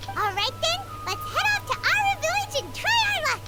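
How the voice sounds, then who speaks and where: Casting: tiny earnest childlike game sidekick, extremely high squeaky pitch, animated delivery, music behind. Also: female voice, brisk. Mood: excited. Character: small chirpy childlike floating companion, food-loving, earnest. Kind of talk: English game voice acting.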